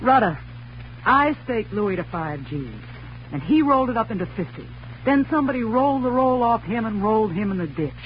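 An actress playing a hard-boiled, impatient gangster moll in a 1940s radio drama, speaking in curt, tough slang. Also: female voice, a little high, lively intonation, a little fast, close to an everyday voice. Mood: demanding. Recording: muffled old radio recording, faint hiss.

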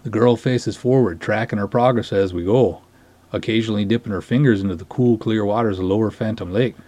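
Male dramatic reader, slightly low and husky, formal wording, dry close sound. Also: a little fast, warm tone, dry recording. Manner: formal, wordy, theatrical delivery. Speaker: podcast host reading a short story aloud. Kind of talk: dramatic reading of narrated dialogue.

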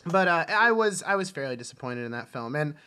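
A man's voice: on the high side, animated, normal speed, sharp tone, slight background noise. A man co-hosting a film podcast, chatting casually and telling stories with joking exaggeration. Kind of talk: casual movie chat.

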